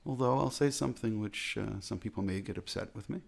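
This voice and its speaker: American guy, rabbi type, gravelly husky voice, slow earnest talk, keeps repeating phrases. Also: on the low side. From United States. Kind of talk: reflective talk.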